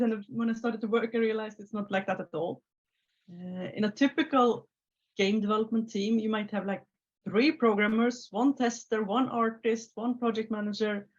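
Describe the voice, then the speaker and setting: Swedish-accented woman on a muffled call. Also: mid-range voice. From Sweden. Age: 30s. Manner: relaxed, many filler uhs and restarts. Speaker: guest. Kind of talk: casual interview talk. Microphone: video call. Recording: slightly muffled video call audio.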